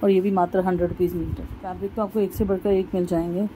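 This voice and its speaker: Woman vlogger, soft mellow tone, chatty, shows fabrics to viewers in a market shop. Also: lowish voice, little pitch movement, fast, a little hiss or hum, low-quality recording. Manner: chatty, addresses viewers as guys. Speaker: shopping vlogger. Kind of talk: casual shopping vlog.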